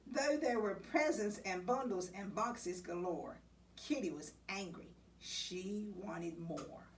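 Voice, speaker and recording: A woman reading a children's picture book aloud, with dramatic, expressive delivery and deliberate pauses. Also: medium pitch. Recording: distant mic, faint background noise.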